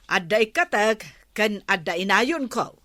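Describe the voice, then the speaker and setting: A woman's voice: mid-range voice, expressive, a little slow, sharp tone, no room sound, studio quality. A woman with an Ilocano Filipino accent playing a bossy, vain village captain's wife in a radio comedy drama. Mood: stern, demanding.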